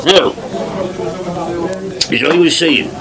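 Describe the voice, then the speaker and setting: An actor playing a grumbling, boastful old farmer with a West Country accent in a British radio soap. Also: male voice, fairly high pitch, slow, creaky. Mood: scornful, crowing. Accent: English West Country. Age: older. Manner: scornful, crowing. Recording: 1980s radio drama, pub crowd behind.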